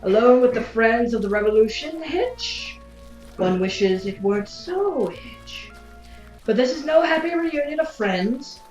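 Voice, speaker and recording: A woman's voice: fairly high pitch, animated, unhurried, darkish tone, reverberant, highs cut off, faint music behind. A woman playing a grandiose, effusively polite but unrepentant revolutionary zealot in an audio drama, speaking in formal, stilted phrases. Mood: grave, guarded. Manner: formal, stilted, refers to self as "one".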